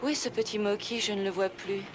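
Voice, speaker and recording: Une comédienne de dessin animé en français qui joue une femme soucieuse et rassurante au sein d'une famille. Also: female voice, medium pitch, steady tone, fast talker, slight background noise, natural voice. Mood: reassuring. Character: concerned, reassuring woman of a cartoon family. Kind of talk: French cartoon voice acting. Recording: music behind the voice.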